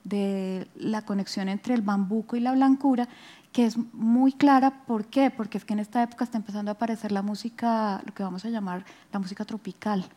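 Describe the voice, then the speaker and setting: A woman's voice: medium pitch, fairly even intonation, fairly quick, mellow. A woman giving an informal public lecture, explaining and often checking with short tag questions. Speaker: lecturer, music researcher. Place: auditorium stage at a book fair. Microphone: headset.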